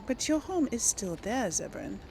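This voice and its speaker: A woman's voice: a little high, sing-song, moderate pace, mellow, slight background noise, poor audio. A woman doing casual let's play commentary, musing aloud and hesitant as she decides things. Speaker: gaming video host.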